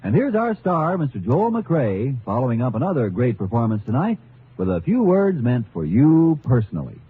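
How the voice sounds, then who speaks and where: A man working as a vintage radio commercial announcer, giving a folksy, upbeat sales pitch. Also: very animated, normal speed. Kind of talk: 1950s radio advertising pitch. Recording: tinny old radio, faint noise.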